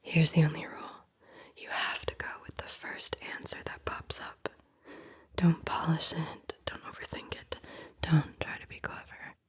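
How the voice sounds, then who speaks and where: ASMR host: woman, low breathy whisper, playful and teasing, close mic. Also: completely flat delivery, a little slow. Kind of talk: whispered ASMR guided visualization.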